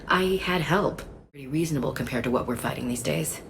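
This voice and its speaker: A woman's voice: brisk, slight background noise. A woman acting a tough, plainspoken soldier in a video game, frank and weary. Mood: rueful. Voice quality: husky low, gravelly.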